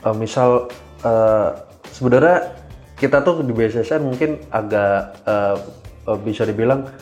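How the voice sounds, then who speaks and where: A man, answering casually as a podcast guest, hesitant and full of filled pauses. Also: mid-range voice, darkish tone, faint music behind. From Indonesia. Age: 20s. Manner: hesitant, halting delivery with frequent filled pauses. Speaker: guest cyber security engineer.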